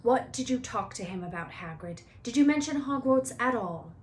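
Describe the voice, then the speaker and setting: Give me a woman playing an earnest, pressing storybook schoolboy, raised pitch, slightly noisy recording. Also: animated, lightly acted. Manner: insistent, pressing, halting when nervous. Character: earnest, urgent, inquisitive schoolboy. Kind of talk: children's book read-aloud.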